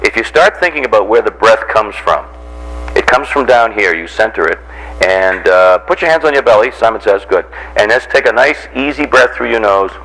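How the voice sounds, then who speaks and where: Voice coach, American male, lowish pitch, informal and joking as he instructs a group. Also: moderate pace, poor audio. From Randolph, near Boston, United States. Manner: jocular, animated teacher who acts out examples and puts questions to listeners. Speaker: voice coach giving a workshop.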